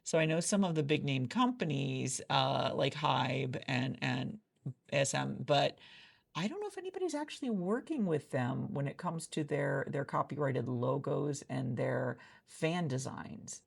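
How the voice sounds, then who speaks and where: A woman doing a soft-spoken ASMR roleplay as a hiring manager, chatty and rambling with many restarts, recorded in a friend's office. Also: extremely low pitch, very animated, a little slow, gravelly, slightly rough.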